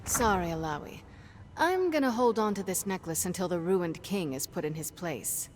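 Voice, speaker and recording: An actress playing a wry, strong-willed adventurer in a game cutscene, with a pointed way of talking. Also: female voice, lowish voice, animated, normal speed, natural voice. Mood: wry, teasing. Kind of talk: dramatic game cutscene acting. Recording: reverberant, faint music behind.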